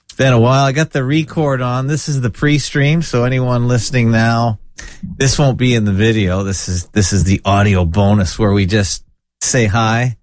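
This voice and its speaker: A man with a nasal, middle-pitched voice hosts a loose, joking conversation and offers blunt opinions. His sound is dry and close, with no room around it. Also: fairly even intonation, moderate pace, no room sound. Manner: chatty, jokey, blunt opinions. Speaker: podcast host. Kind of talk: casual chat.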